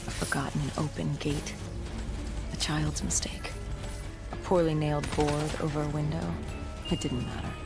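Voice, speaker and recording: A woman's voice: sits low, little pitch movement, moderate pace, gravelly, pauses often. An actress playing a haunted, grim female soldier, narrating a zombie video game story in a brooding, dramatic way. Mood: bleak. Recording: music behind the voice.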